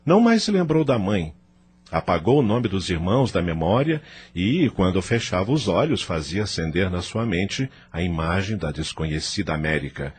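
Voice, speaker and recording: A man's voice: low-pitched, sing-song, normal speed, breathy edge. A man narrating an audio novel from a script in a solemn, theatrical, dramatic manner.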